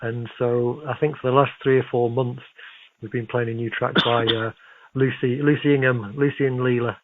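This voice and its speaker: A man of medium pitch interviews in a conversational, affirming way, as a radio host does. His voice comes through narrow and tinny, like a telephone connection. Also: level tone, normal speed, noisy background. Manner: encouraging, affirming. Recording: narrow phone-like radio sound.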